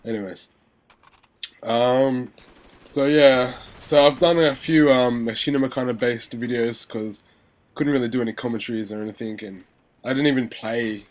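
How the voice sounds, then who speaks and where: A man's voice: medium pitch, fairly even intonation, normal speed. Young man rambling, nose still stuffy. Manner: rambling, lots of fillers and restarts. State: stuffy nose, sore voice after illness. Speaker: gaming commentator. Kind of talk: casual game commentary.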